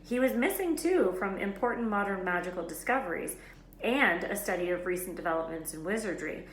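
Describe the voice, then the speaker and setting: A woman reading a children's novel aloud as an expressive storyteller. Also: medium pitch, brisk. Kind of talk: expressive scripted children's book narration. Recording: echoey room with faint noise.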